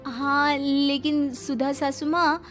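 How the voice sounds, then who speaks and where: A woman plays a young cartoon daughter-in-law with a very high voice over faint music. She is dutiful and sweet, always pleading and trying to placate her elders. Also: flat delivery, slow pace, fairly bright tone. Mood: flustered, placating. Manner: pleading, conciliatory. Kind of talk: animated moral-story dialogue.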